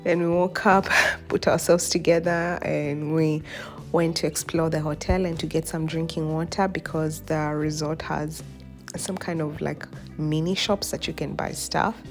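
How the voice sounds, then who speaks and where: A young woman, hoarse from a cold, gives a casual voice-over account of a trip as a vlogger. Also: deep voice, little pitch movement, unhurried. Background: background music.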